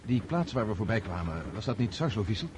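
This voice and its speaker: An actor playing a kind-hearted, awkward young Russian count in a radio play, halting and self-correcting. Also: male voice, lowish voice, big pitch swings. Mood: earnest, troubled. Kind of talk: radio drama acting. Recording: noisy old radio play recording.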